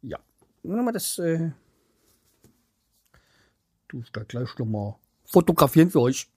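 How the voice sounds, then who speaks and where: A man's voice: a little high, very animated, a little slow, a little mellow, breathy edge, no room sound, clean studio sound. A man from the Hanau area, chatting casually to his viewers at home in a rambling way, trailing off and restarting with many fillers. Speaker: vlogger. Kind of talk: casual chat to camera.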